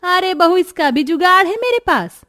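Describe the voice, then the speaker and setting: A woman's voice: very high-pitched, lively intonation, moderate pace, a bit bright. A woman voicing a bossy, food-obsessed middle-aged mother-in-law in a Hindi cartoon story, commanding and insistent.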